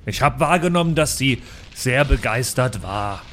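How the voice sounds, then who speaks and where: Mid-pitched man in tabletop role-play. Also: lively intonation, moderate pace, a bit bright, slight background noise. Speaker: player in a tabletop role-playing podcast. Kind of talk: improvised in-character tabletop banter.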